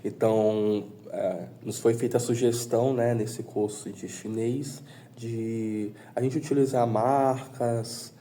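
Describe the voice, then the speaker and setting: A man giving a slow, filler-heavy testimonial as a student teaching assistant. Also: mid-range voice, steady tone, mellow, slight background noise.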